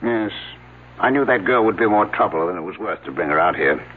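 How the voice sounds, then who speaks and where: A dithering male professor who stumbles over his words. His lowish voice swings up and down as he talks. It comes through a thin, lightly hissing old radio broadcast. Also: animated, fairly quick, slightly rough, phone-quality sound. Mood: fussy. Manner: hesitant, full of uh's. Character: fussy hesitant male archaeology professor. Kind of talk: 1970s radio drama acting.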